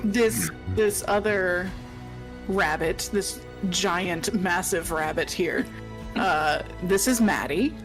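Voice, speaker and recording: A woman's voice: fairly low pitch, slow. A woman playing a live tabletop game, bantering casually with a dry, playfully sarcastic manner. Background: music.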